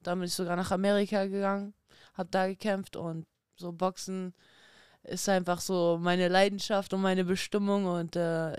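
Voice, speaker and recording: A woman in her thirties, a former boxing champion guest, talking in a lively, chatty way with many fillers in a casual podcast interview. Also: medium pitch, clear, resonant tone, dry recording, studio quality. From Kazakhstan, raised in Germany.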